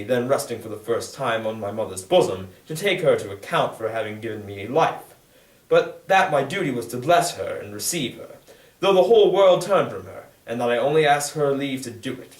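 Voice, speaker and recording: A young man giving an earnest, formal and expressive dramatic reading of literary narration. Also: medium pitch. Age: young adult. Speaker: student reader. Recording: echoey room, distant microphone.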